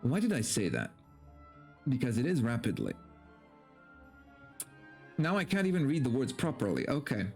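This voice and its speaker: Animated man reading a story theatrically. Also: medium pitch, moderate pace, gravelly, pauses often, soft background music. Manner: mock-dramatic, exasperated asides, swearing. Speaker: streamer doing a dramatic reading. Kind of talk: theatrical story narration with casual asides.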